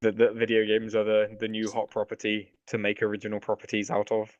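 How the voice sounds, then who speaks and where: A man's voice: on the low side, completely flat delivery, brisk, no echo. A man co-hosting a podcast, chatting casually and informally, sometimes tentative, with some swearing. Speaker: podcast co-host. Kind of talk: casual chat about films and games. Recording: dull, dry, lo-fi voice track.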